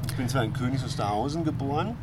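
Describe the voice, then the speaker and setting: A man's voice: medium pitch, expressive, quick pace, a little mellow. Candid man, noisy outdoor recording. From Berlin, Germany. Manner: candid, conversational, searching for words. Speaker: interview guest. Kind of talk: casual reminiscing in an interview. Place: outdoors on a large urban lawn.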